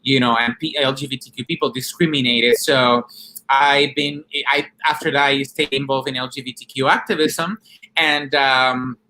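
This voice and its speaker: A man's voice: medium pitch, fairly even intonation, moderate pace, sharp tone, poor audio. A man from Rico, answering earnestly as a documentary director and activist in an interview. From Puerto Rico.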